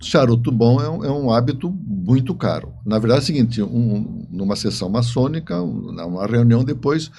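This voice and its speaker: A man with a Brazilian accent talking casually as an interview guest, explaining things haltingly and often repeating himself. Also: medium pitch, animated, creaky, warm tone, husky. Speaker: podcast guest, novelist. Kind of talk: casual chat.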